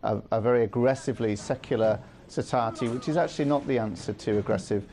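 A man's voice: medium pitch, dark tone, slight background noise. Earnest middle-aged man in a news interview. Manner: measured, earnest, with frequent ums. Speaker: interview guest. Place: studio with city view.

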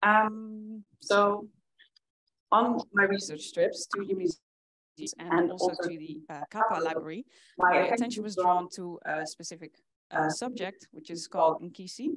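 A young woman from the Netherlands giving a conference talk in an earnest way, often pausing with fillers. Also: on the low side, crowd noise, low-quality recording. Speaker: conference speaker. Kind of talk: presentation talk. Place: conference hall with audience.

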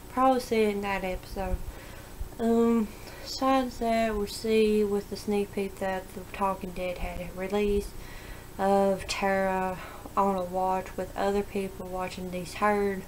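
Flat-voiced young woman vlogging from her bedroom. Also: medium pitch, slight background noise, poor audio. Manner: rambling, halting, frequent restarts and fillers. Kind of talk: casual webcam vlog, TV episode predictions. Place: small bedroom indoors. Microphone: webcam at desk.

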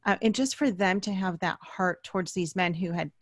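A woman's voice: lowish voice, moderate pace, dry recording. A middle-aged woman hosting an interview in an earnest, affirming, conversational way, close to a studio microphone. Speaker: interview host. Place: indoor home-style studio. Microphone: large desk microphone, close.

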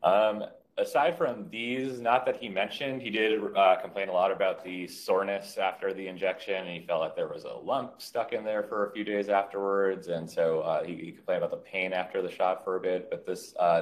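A middle-aged man, a physician, presenting a clinical case on a video call in a measured, slightly hesitant way. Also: low-pitched, steady tone. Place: indoor room on a video call.

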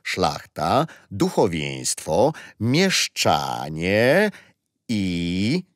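A man's voice: sits low, wildly swooping pitch, extremely slow, dry recording, studio quality, heavily acted. An actor playing a kindly older grandfather who patiently tells stories and history in a children's radio play. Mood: patient, explaining. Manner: patient, playful teasing. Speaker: storyteller grandfather.

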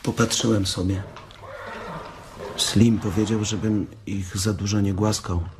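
A man playing a gentle, slow-witted, childlike farm hand in a Polish radio drama, with a naive, simple manner. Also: on the low side, steady tone, noisy background, close to an everyday voice. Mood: innocent, eager.

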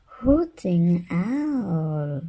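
A woman's voice: on the low side, big pitch swings, very slow, mellow. A woman reading a picture book aloud to babies and toddlers in a playful sing-song way, repeating words. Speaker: children's read-aloud channel host.

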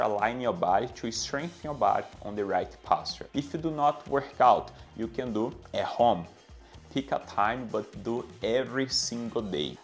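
A man in his thirties from Brazil with a Brazilian Portuguese accent, a fitness trainer giving exercise instructions to camera and counting reps aloud. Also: mid-range voice. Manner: coaching, counts reps aloud, non-native grammar. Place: bright indoor room with anatomy posters. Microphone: clip-on lapel mic.

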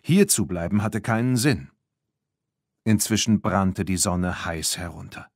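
A man narrating a children's audiobook in an expressive, scripted storytelling style. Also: deep voice, a little slow, no room sound, studio quality.

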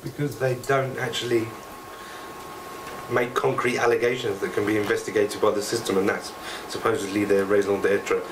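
A man's voice: fairly low pitch, little pitch movement, normal speed. A man in an audience giving a spontaneous spoken answer, heard at a distance over room noise.

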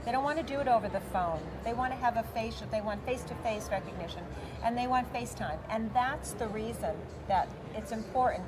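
A woman's voice: a little high, fairly quick. Chatty New woman, expo organizer. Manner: talkative, many fillers, gestures while talking. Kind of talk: interview answers. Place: large convention center hall, indoors. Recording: busy convention hall crowd noise. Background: crowd chatter.

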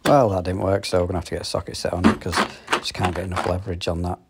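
A man with a low, deep voice talks through a plumbing job as he does it, matter-of-fact and practical. He records on a phone up close, with tool clicks and background noise around him. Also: flat delivery, very fast, creaky. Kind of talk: working vlog narration. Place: customer's home and inside his van.

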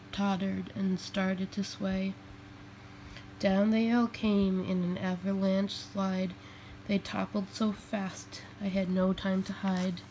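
Slow, deliberate woman reading a picture book. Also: medium pitch, darkish tone, low-quality recording. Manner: deliberate, phrase by phrase. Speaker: storybook reader. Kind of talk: children's picture book read-aloud. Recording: faint background noise, home recording.

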